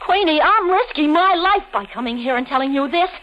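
An actress playing a frightened, soft-hearted gangster's girlfriend, anxious, stammering and slangy, in 1940s radio melodrama style. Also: high voice, lively intonation, fairly quick. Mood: urgent warning. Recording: muffled old-time radio recording.

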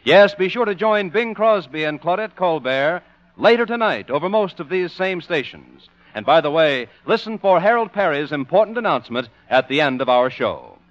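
High-pitched, animated male vintage radio announcer. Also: moderate pace, no echo. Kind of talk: 1950s radio announcing, scripted promo. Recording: dull vintage radio broadcast recording.

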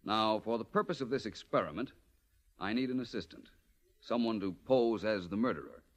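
A low, richly inflected male voice for a suave gentleman sleuth. His delivery is polished, wry and theatrical, in the manner of forties radio mystery. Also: lively intonation, normal speed. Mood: theatrical, deliberate. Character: suave, urbane, self-assured gentleman detective. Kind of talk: 1940s American radio mystery acting.

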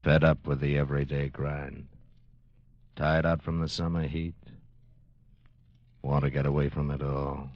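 An actor playing an ominous announcer in a 1940s radio adventure drama, speaking with slow, menacing drama. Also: male voice, very deep voice, level tone, dry recording. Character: ominous male announcer luring listeners into peril. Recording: muffled old radio recording, music behind.

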